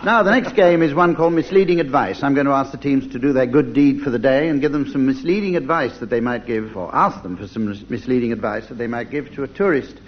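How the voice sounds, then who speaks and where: A man's voice: medium pitch, quick pace, hardly any pauses. Man hosting a dull-sounding old radio panel game. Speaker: panel show chairman. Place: live show before a studio audience.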